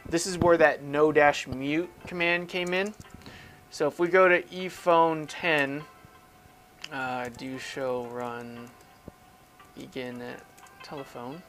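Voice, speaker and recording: A man's voice: fairly high pitch, steady tone, slow pace, pauses often, a bit bright, soft background music. A man giving a casual step-by-step technical tutorial, posing questions to himself and explaining as he demonstrates. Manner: informal, self-questioning, explains aloud while demonstrating. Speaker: instructor.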